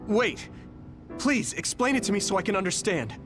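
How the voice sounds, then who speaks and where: A man's voice: high-pitched, sing-song, moderate pace, over music. An actor playing an earnest, bewildered young male soldier hero in a video game, polite and searching. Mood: alarmed. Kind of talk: scripted video game cutscene acting.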